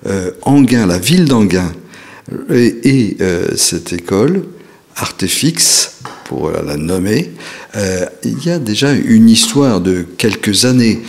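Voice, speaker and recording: A man hosting an interview who talks haltingly, with many restarts and fillers. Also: fairly low pitch, expressive. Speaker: host. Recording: long reverb.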